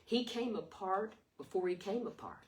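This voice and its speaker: An older woman with a raspy voice giving an earnest, rambling devotional talk full of restarts. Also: mid-range voice, animated, normal speed. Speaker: devotional video host. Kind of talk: informal devotional sermon. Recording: distant mic with faint noise.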